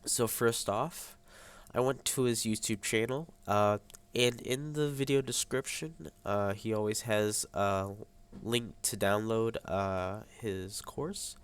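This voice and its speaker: Young man, congested nasal sound, slightly low voice, halting student tutorial delivery. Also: smooth. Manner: hesitant, many ums and uhs. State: congested, stuffy nose. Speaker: high school student. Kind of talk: screen-recorded tutorial. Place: at a computer, voice over screen capture.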